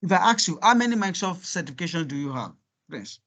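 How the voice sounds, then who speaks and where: Male, Nigerian accent, Yoruba native speaker, high-pitched, informal workshop coaching, encouraging, repetitive. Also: lively intonation, fast, a bit bright. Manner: encouraging, repeats himself, rhetorical questions. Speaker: guest speaker coaching students on LinkedIn profiles.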